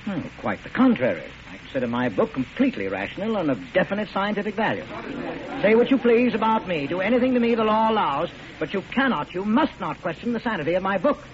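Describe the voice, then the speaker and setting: An actor playing a proud, indignant doctor on trial, speaking emphatically and precisely in 1940s radio drama style. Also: male voice, high voice, very animated, quick pace, slight background noise. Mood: proud, insistent. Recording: muffled old radio, live audience.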